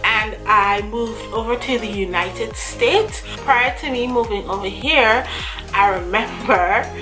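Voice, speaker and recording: A woman's voice: on the high side, slow. A young woman from Guyana, talking earnestly and chattily to camera while reading from her notes. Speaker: vlogger. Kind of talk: casual talk to camera. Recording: music playing under voice. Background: background music.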